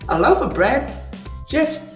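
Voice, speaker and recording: A woman's voice: sits high, sing-song, takes it slowly, soft background music, exaggerated voice. A woman voicing a cranky elderly grandparent AI, grumbling theatrically in an improvised comedy advert. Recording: roomy echo, distant from microphone.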